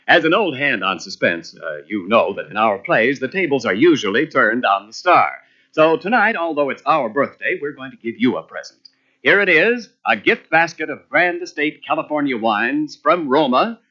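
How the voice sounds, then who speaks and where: Male 1940s announcer, bright forward voice, swooping emphatic sponsor reads, dull old broadcast sound. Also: medium pitch, sing-song, moderate pace. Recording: muffled old radio tape.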